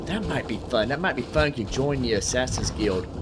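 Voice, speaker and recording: A man's voice: medium pitch, expressive, fairly quick, noisy background. A man giving casual gameplay commentary in a folksy, rambling way, explaining things and talking to himself. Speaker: gaming host.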